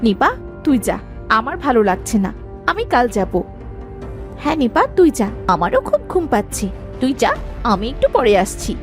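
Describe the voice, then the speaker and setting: A woman voicing a young village daughter in a cartoon folktale, girlish and expressive. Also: a little high, normal speed, long pauses, faint music behind. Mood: grumbling. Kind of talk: animated folktale dialogue acting.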